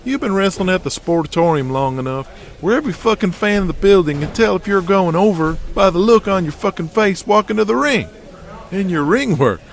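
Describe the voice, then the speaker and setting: Man doing an exasperated, high-pitched wrestling boss. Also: lively intonation, a little fast. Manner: sarcastic, scolding, foul-mouthed. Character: blunt, exasperated male wrestling backstage boss.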